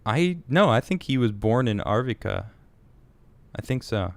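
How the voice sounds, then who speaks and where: A young American man hosts a casual talk show with a smooth, resonant voice, heard close and dry. He talks in quick restarts and turns animated and indignant on political stories. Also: mid-range voice, dry recording. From United States. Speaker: host.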